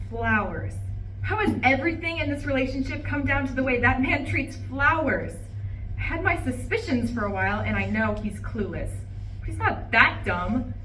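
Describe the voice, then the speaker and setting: A woman's voice: high voice, pushed and bright. A woman acting a bitter, betrayed wife in an emphatic, indignant monologue at a live play reading. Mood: hurt, incredulous. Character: bitter, betrayed wife venting to her plants. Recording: roomy, distant live recording, faint noise.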